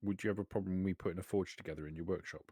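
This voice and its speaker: A man with a deep voice plays a tabletop game, talking casually in character. His phrasing is short and laid-back, and the sound is close and dry. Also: steady tone, rapid-fire, dark tone, breathy edge, no echo.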